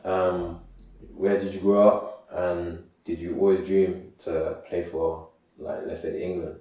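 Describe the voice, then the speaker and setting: Male podcast host, low mellow voice, easy chatty questions, echoey room, distant mic. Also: takes it slowly. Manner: relaxed, constant "yeah, yeah" backchannel. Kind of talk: casual interview. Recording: roomy sound from a distant mic.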